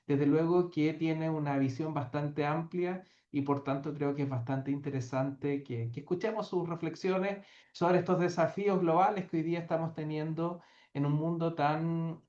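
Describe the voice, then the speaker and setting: A man's voice: on the high side, darkish tone, echoey room, muffled recording. A man with a Chilean Spanish accent introducing a guest speaker at an academic seminar, hesitant, with many filler sounds. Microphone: video call.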